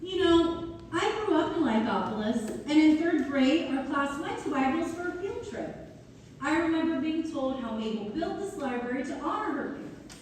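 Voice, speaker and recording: A woman's voice: high voice, expressive, moderate pace. A woman playing a grateful, earnest townswoman in a community theater monologue. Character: grateful, earnest townswoman who runs a business. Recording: echoey hall from far off, faint noise.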